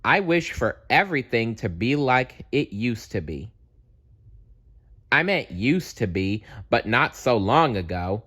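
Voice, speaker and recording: A man's voice: mid-range voice, sing-song, slow, fairly bright tone, husky, exaggerated voice. A man voicing a scatterbrained, eager and apologetic bear in a children's storybook, rambling earnestly. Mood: regretful wishing.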